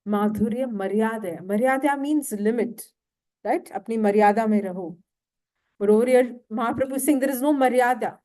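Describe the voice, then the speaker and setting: A woman giving a devotional lecture to a temple audience, slow and often asking questions. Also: fairly high pitch, mellow. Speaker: guest lecturer. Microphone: handheld mic, failing at times.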